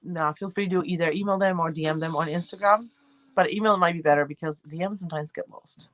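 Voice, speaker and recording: A woman's voice: on the low side, quick pace, fairly bright tone. A woman from Argentina with a light Argentine accent, hosting a casual livestream and rambling as she answers viewers' comments. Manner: chatty, rambling, reads and answers chat comments. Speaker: livestream host.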